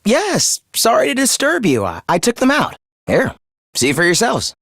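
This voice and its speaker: A man's voice: very high-pitched, wildly swooping pitch, normal speed, gravelly, a bit bright, no echo, clean studio sound, full character voice. A man playing a young video game fighter who is eerily polite and fervent, speaking in theatrical, formal phrases of morbid devotion. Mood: eerily cheerful. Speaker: voice actor. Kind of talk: dramatic video game character acting.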